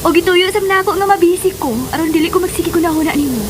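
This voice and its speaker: A woman's voice: extremely high pitch, fast talker. An actress playing an affectionate, middle-aged mother in a radio drama, with gushing, emotive delivery and English phrases mixed in. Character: affectionate, busy mother savoring secret romantic happiness. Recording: noisy, hissy radio drama audio.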